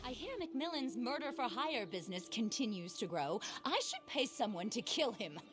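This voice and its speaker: Passionate female naturalist, fairly high pitch, very lively intonation, theatrical, flighty, earnest, western video game cutscene. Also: normal speed, over music. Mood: bitter. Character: eccentric, passionate woman naturalist, animal-loving and easily exasperated. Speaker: quest-giving wildlife specialist in a western game.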